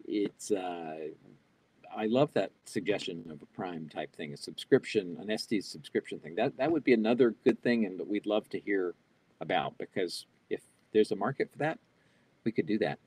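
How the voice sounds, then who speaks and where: Husky low-voiced older man on a video call. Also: normal speed, darkish tone, no echo. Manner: reads questions aloud and answers off the cuff, with fillers and restarts. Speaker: keynote speaker answering audience questions. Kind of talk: live online Q&A. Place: small home office, indoors. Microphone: wired earbud headset on a video call.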